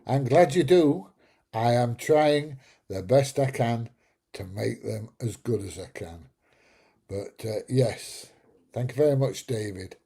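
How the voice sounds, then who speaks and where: Slow, gravelly man rambling. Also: medium pitch, warm tone. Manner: rambling, jokey, chuckles at his own stories. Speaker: livestream host. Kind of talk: casual live chat reading viewer comments.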